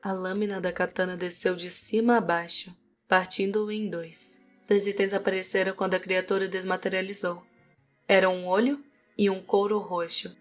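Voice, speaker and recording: A woman's voice: mid-range voice, flat delivery, normal speed. A Brazilian woman reading scripted narration for a fantasy audiobook. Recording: music behind the voice. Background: music.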